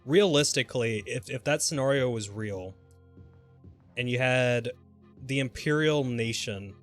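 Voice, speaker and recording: A man livestreaming a video game, chatting casually and thinking aloud in a halting, mildly sweary way. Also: mid-range voice. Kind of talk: casual gameplay commentary and rambling chat. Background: music.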